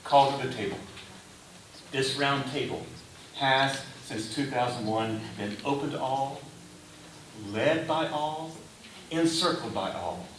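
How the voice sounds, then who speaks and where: Echoey, slow-paced man preaching. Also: medium pitch, fairly bright tone. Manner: deliberate, short phrases with pauses. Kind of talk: sermon. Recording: roomy echo, distant mic, faint hiss.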